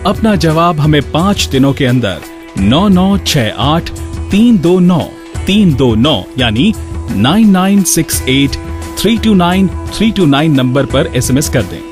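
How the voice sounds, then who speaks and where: Casting: male radio presenter, slightly high voice, instructive scripted delivery, prominent music bed behind. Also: very animated, measured pace. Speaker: radio show host.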